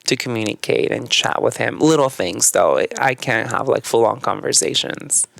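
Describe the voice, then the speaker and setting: A young adult man from northern Mexico whose first language is Spanish, a podcast guest telling his story in a relaxed, reflective way with frequent fillers. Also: medium pitch, moderate pace, creaky, no echo. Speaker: podcast guest, yoga teacher. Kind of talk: casual conversational storytelling.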